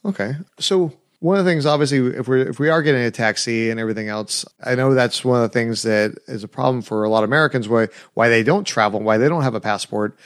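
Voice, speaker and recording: A man's voice: mid-range voice, fast, hardly any pauses, no room sound. Conversational man hosting, dry sound. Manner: conversational, with repeated restarts. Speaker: podcast host. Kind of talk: casual interview questions.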